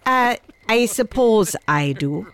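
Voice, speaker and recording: A woman's voice: a little high, expressive, slow pace, fairly bright tone, smooth, heavily acted. An actress playing an Irish countrywoman who tells a tale of her gran in a chatty, confiding, folksy way for a radio drama. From Ireland. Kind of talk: conversational storytelling in radio drama.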